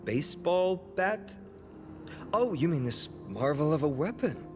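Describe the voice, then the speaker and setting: A young warrior from a fantasy video game, courteous and watchful as he protects those in his care. His speech is measured and careful, with game music playing underneath. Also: on the high side, very animated. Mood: curious, friendly. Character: guarded, polite young male warrior protecting refugees.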